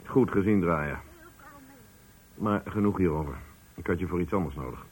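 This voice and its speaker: An actor playing a hard-nosed, pompous factory director in a radio play, condescending and scornful of his workers, with a husky voice. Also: deep voice, normal speed, a little mellow. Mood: smug, scornful. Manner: pompous, condescending, rhetorical questioning. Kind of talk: Dutch radio play acting.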